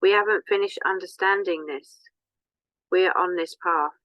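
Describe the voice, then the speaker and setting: A woman giving a solemn, measured dramatic reading in a deliberate manner. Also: on the low side, fairly even intonation, no echo, muffled recording.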